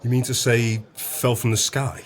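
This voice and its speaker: An actor playing a stern but fair pub landlord in an audio drama, questioning with authority. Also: male voice, mid-range voice, level tone, normal speed, natural voice. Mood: incredulous. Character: incredulous, stern but fair male publican.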